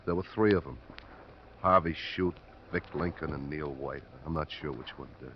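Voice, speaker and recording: An American actor playing an evasive, jittery bartender mixed up in crime in a 1950s radio drama. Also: male voice, a bass voice, moderate pace. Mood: defeated. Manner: defensive, evasive. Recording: muffled, hissy old radio recording.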